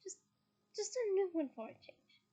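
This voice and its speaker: A woman's voice: very high-pitched, sing-song, normal speed, warm tone, dull audio, pitched above the performer's own voice. A woman voicing an embarrassed, awkward teenage boy who mutters sheepishly. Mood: embarrassed, hopeful.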